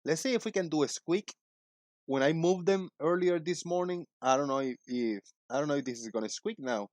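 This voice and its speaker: A man in his forties hosting a casual livestream, talking in a loud, joking, rambling way. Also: fairly high pitch, fairly quick, dry recording, clean studio sound. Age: 40s. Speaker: livestream host.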